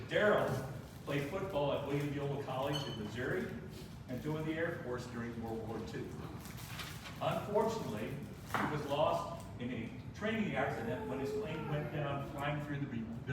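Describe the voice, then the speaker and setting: A man reads a prepared birthday tribute, his voice a little higher than usual for a man. He stumbles and restarts words as he goes. He sounds far from the microphone in a strongly echoing room, with clear background noise. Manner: halting, stops and restarts words often. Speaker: family member reading a birthday tribute. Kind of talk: reading a prepared life story aloud. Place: birthday celebration in a large room.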